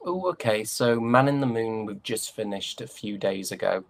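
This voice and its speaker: A man's voice: on the low side, moderate pace, gravelly. A man from the UK speaking as an interview guest in a casual, thoughtful, hesitant way, often repeating words as he goes. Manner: hesitant, thoughtful, trailing repetitions. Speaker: interview guest, stage actor.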